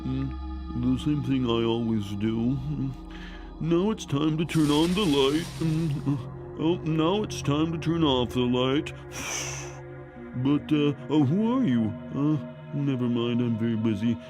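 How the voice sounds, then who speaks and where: A man's voice: mid-range voice, a little slow, close to an everyday voice. A man voicing a weary, preoccupied lamplighter who mumbles through his routine in a children's cartoon. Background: music.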